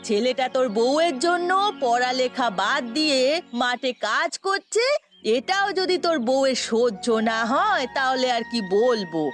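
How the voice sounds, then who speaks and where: Sly older village aunt for audio melodrama played by a woman: fairly high voice, gossipy, meddling, insinuating, soft music behind. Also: lively intonation, normal speed. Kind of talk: melodramatic Bengali audio story acting. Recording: music under the voice.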